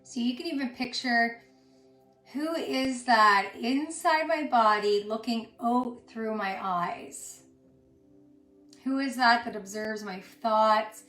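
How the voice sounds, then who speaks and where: A woman teaching yoga and guided meditation in a soothing, unhurried way, pausing between short phrases. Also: a little high, a bit bright. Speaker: yoga instructor. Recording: roomy echo with music underneath. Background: music.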